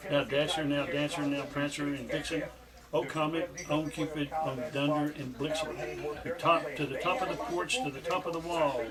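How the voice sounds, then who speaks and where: A man's voice: fairly high pitch, fairly even intonation, moderate pace. A man reading a storybook poem aloud at a family gathering. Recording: loud family chatter behind.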